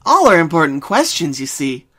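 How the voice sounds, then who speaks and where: A man's voice: on the high side, huge pitch swings, normal speed, sharp tone, exaggerated voice. A man voicing a deranged, murderous female pony in a horror fan story, lecturing theatrically with sweet menace. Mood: menacing lecture.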